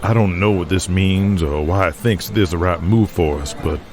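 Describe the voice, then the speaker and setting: A man voicing a weary, troubled male wrestler and booker who speaks in a halting, folksy way. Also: sits low, crowd noise. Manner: quiet, halting, folksy grammar. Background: music underneath.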